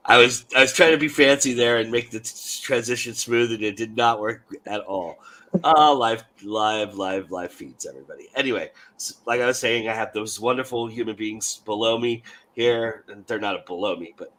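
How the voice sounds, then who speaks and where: A man hosting an online panel show, his voice hoarse with a little growl, talking in a rambling, self-deprecating way. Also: lowish voice, normal speed, fairly bright tone, rough recording. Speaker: host.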